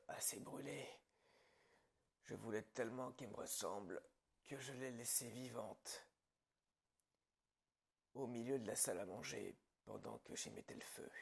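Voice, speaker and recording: A man playing a calm, gloating psychopathic killer in an ASMR horror roleplay, husky and gravelly, often dropping toward a whisper. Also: on the low side, exaggerated voice. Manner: slow, pausing, quietly menacing storytelling. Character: calm, gloating male psychopath killer confessing his story.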